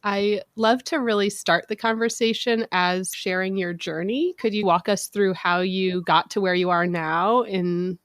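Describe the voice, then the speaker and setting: A woman's voice: medium pitch, steady tone, a little slow, few pauses, dry recording. Dry-sounding woman podcast host. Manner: friendly, brief backchannels. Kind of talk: interview host.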